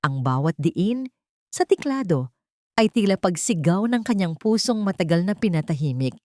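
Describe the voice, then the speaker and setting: Low-pitched woman narrating a drama. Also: big pitch swings, moderate pace, a little mellow, no room sound, studio quality. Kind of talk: dramatic scripted storytelling.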